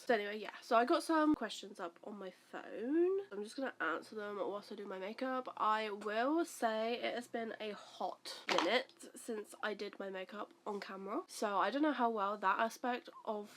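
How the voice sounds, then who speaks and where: A woman's voice: medium pitch, moderate pace, few pauses, a bit bright, a little hiss or hum. A woman in her twenties, stuffy and nasal from the flu, rambling casually as she does her makeup and answers questions for her channel. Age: 20s. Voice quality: nasal, congested. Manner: rambling, self-deprecating, chaotic. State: recovering from flu. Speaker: vlogger. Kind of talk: chatty get-ready-with-me vlog. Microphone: camera facing her while doing makeup at a mirror.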